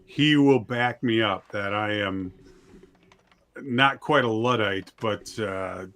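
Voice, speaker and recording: A man's voice: on the low side, slow, fairly bright tone. A man chatting casually as an interview guest, informal and self-deprecating. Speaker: podcast guest, author and audio-play writer.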